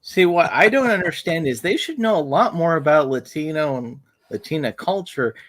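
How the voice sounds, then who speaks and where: A man's voice: fairly high pitch, lively intonation, normal speed, fairly bright tone. A man in a casual stream chat, blunt and opinionated.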